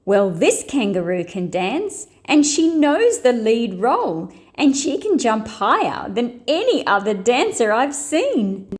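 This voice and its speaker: A woman's voice: a little high, big pitch swings, slow, few pauses. A woman voicing a commanding female ballet director in a children's storybook, speaking in emphatic declarations. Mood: decisive. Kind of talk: children's storybook read-aloud.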